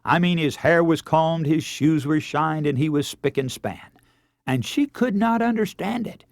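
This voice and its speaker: An American man preaching a radio sermon in an earnest, emphatic way, leaning deliberately on key words and telling illustrations. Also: a little high, creaky, dry recording, clean studio sound.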